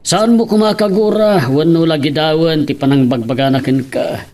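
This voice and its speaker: A man playing a fond, gently advising elderly grandfather in an Ilocano-accented audio drama. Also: fairly high pitch, moderate pace, lightly acted. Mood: earnest, advising. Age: older.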